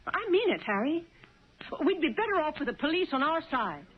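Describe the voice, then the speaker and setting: An actress playing a caring, insistent wife in a 1970s radio drama. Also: female voice, high voice, sing-song, a little fast. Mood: insistent, exasperated. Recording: dull, noisy 1970s radio broadcast.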